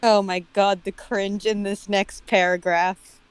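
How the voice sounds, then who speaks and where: A woman's voice: mid-range voice, flat delivery, unhurried, clear, resonant tone, no echo. A woman joining a comedic read-along as a guest, bantering casually with the others. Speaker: guest co-reader.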